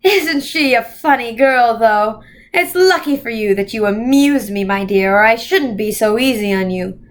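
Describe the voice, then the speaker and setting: A woman voicing a menacing, coolly amused man who speaks by thought in a storybook, slow, deliberate and condescending. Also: a little high, animated.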